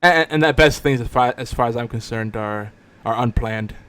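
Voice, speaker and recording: A man's voice: medium pitch, normal speed, a bit bright. A middle-aged man hosting a podcast, chatting casually with a joking, bantering manner. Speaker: podcast host. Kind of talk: casual chat.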